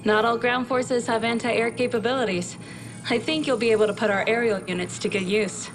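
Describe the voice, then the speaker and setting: An actress playing a brisk, dry-witted military scientist, giving a mission briefing in a video game with a crisp, matter-of-fact delivery. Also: female voice, fairly high pitch, fairly even intonation, background noise, close to an everyday voice. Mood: brisk, informative. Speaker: military research officer briefing a commander.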